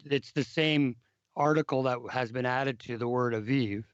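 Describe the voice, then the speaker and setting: Hoarse male host, halting restarts, dry dull lo-fi audio. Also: medium pitch, no echo. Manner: repeats words and restarts often. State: hoarse, lost his voice. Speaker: podcast host. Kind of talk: casual discussion of biblical calendar history.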